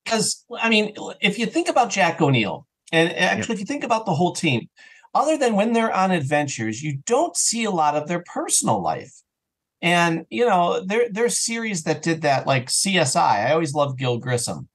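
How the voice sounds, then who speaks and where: A man talking casually as an interview guest about his creative work, telling things in an animated, self-interrupting way. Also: high-pitched, moderate pace. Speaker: audio drama writer and actor, interview guest.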